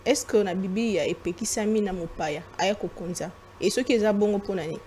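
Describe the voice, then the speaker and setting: A woman's voice: mid-range voice, brisk, darkish tone. Female co-host, mid voice, casual question, light background noise. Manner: mixes French and Lingala, conversational.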